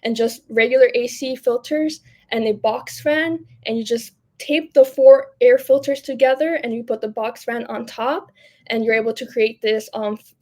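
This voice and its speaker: A woman's voice: on the high side, a little mellow. A woman in her twenties, a student researcher, explaining things conversationally as an interview guest. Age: 20s. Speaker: podcast guest, public health student researcher.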